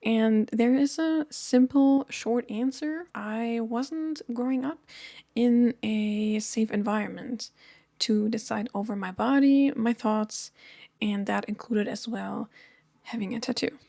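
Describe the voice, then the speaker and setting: A woman in her thirties from Germany, talking earnestly to camera in a personal vlog, with frequent soft ums. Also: a little high, slow, gravelly, no echo, clean studio sound. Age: 30s. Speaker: vlogger. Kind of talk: personal storytelling chat to camera.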